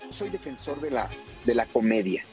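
A man's voice: mid-range voice, big pitch swings, moderate pace, music in the background. A man speaking firmly in a played-back press clip.

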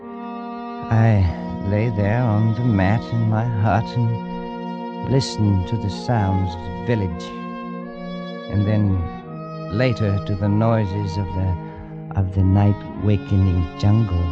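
A careless, arrogant adventurer, flippant and dismissive, who stammers and hedges once things turn grim. The voice sits fairly low and comes through a dull, lo-fi archival radio recording with music playing clearly beneath. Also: male voice, fairly even intonation, slow pace, pauses often. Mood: haunted. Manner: flippant and scoffing, then hesitant. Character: careless arrogant male adventurer, later haunted. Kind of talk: old-time radio drama acting.

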